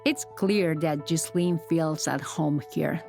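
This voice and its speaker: Woman, low voice, gravelly, calm documentary narration, soft music underneath. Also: slow pace. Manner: calm descriptive storytelling. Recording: studio voice-over with music bed.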